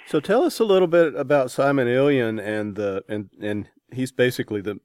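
A man hosting a casual interview, speaking informally and haltingly as he rephrases his questions. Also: mid-range voice, darkish tone, clean tone, no echo, studio quality. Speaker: interviewer, podcast host.

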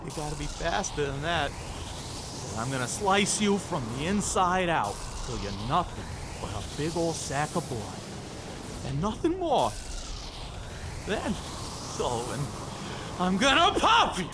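A man playing a sadistic, gloating supernatural killer in a pulp audio drama, taunting with menace and drawn-out words. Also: a little high, sing-song. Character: sadistic gloating supernatural male killer who fades through walls. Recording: clear background noise.

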